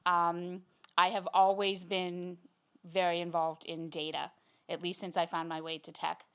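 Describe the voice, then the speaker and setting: A woman's voice: fairly low pitch, level tone, measured pace, a bit bright, clear, resonant tone. A woman introducing herself as a data leader on a panel, composed and friendly.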